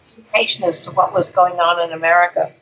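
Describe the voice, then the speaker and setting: An older woman, a guest speaker, talking through an interview in an unhurried, rambling way with plenty of fillers. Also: on the low side, steady tone, a bit bright, poor audio. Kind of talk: conversational interview.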